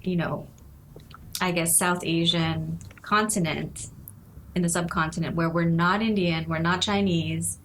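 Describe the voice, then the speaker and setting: A woman's voice: on the low side, steady tone, a little slow, a bit bright, slight background noise, poor audio. A woman in her forties from Nepal, a guest speaking thoughtfully in a casual interview, often laughing and saying "you know".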